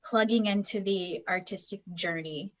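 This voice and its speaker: A woman's voice: medium pitch, moderate pace, a bit bright. A woman chatting off the cuff on a group video call, searching for her words as she goes. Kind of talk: casual, unscripted group talk. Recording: dull, muffled call audio.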